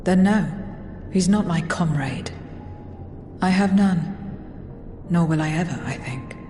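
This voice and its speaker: An actress playing an aloof, blunt, solitary woman in a video game cutscene, with terse, deadpan delivery. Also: on the low side, slow pace. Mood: detached, blunt. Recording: long echoing reverb with music underneath.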